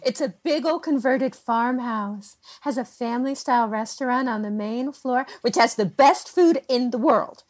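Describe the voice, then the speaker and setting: A woman's voice: a little high, normal speed, few pauses, close to an everyday voice. A woman playing a chatty, hospitable small-town host in an audio drama, effusive, folksy and coaxing. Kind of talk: naturalistic audio drama acting.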